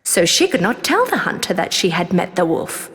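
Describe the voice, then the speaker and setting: A woman's voice: fairly low pitch, sing-song, normal speed, fairly bright tone. A woman narrating a children's fairy tale as a scripted story. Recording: long reverb, clear music behind. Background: music.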